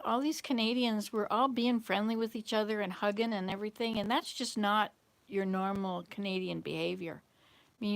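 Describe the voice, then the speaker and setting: A woman with a Southern US accent hosts a casual livestream chat. Her mid-pitched voice has a noticeable creak. She rambles from one thought to the next as she replies to viewers. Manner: rambling, jumps between thoughts. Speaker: livestream host.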